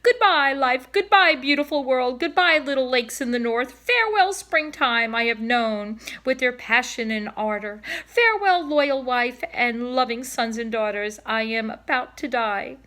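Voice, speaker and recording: A woman's voice: sits high, expressive, a little slow, hardly any pauses, pushed and bright, pitched above the performer's own voice, heavily acted. A woman voicing a proud, long-winded old male swan in a storybook, with grand, declamatory, melodramatic speech. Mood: melodramatic farewell. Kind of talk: storybook read-aloud character voice.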